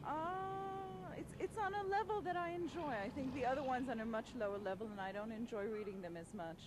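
Young woman, fairly high voice, noisy street. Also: fairly quick. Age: young adult. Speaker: street interviewee. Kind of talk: casual vox pop answer. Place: city street outdoors. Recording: noisy outdoor street tape.

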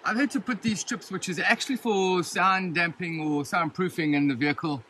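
Male, forties, a touch high-pitched, casual rambling gear-review delivery on a handheld phone. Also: steady tone, moderate pace, background noise. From Southern Africa. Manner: chatty, rambling, with frequent ums and restarts. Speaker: vlogger reviewing his rooftop tent. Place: inside a rooftop tent, then outdoors beside a vehicle in the bush. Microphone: handheld phone camera at arm's length.

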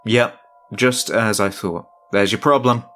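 A man's voice: fairly low pitch, fairly even intonation, a little slow, fairly bright tone. A man voicing a calculating killer father who feigns casual, reassuring helpfulness in a horror story. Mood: falsely friendly.

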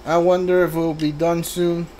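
A man giving casual video game commentary, thinking aloud in a halting way and reading bits out as he goes. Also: on the high side, level tone, mellow, faint music behind. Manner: halting, mutters to himself, reads guide and subtitles aloud.

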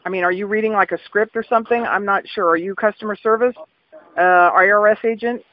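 Caller with a very high-pitched voice, casual and blunt, on dull phone audio. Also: male voice, steady tone, fairly quick, dry recording. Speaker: call recipient. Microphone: telephone, dry close pickup. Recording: dull, lo-fi phone call recording.

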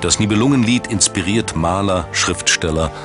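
A man narrating a scripted documentary in a measured, dramatic storytelling manner. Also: sits low. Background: background music.

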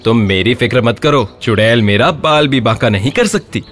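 A man voicing a clever, fearless, polite young villager in an animated Hindi story. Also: mid-range voice, moderate pace, faint music behind, pitched below the performer's own voice, lightly acted. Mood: reassuring.

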